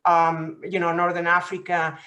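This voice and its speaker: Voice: medium pitch, completely flat delivery, moderate pace, bright, forceful tone, muffled recording. Tentative voice asking a long question. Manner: tentative, searching for words. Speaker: audience questioner.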